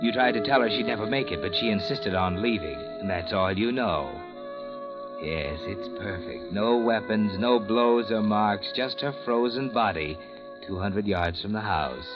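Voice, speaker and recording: A man as a low, taunting sinister narrator. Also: a little slow, close to an everyday voice. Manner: taunting, addresses the listener as "you". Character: sinister all-knowing male narrator. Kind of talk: 1940s radio acting. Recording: dull old radio recording with music.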